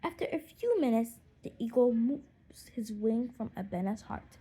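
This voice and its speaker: A woman's voice: a little high, expressive, moderate pace, mellow, slightly rough. A woman narrating a children's storybook as an audiobook.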